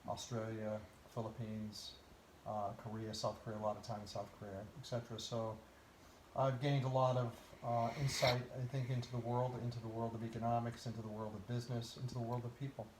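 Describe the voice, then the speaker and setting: Portuguese-born man, flat and measured. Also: medium pitch, echoey room, noisy background. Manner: measured, lists places and reasons, with many "uh" pauses. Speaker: interviewee. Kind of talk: casual conversation.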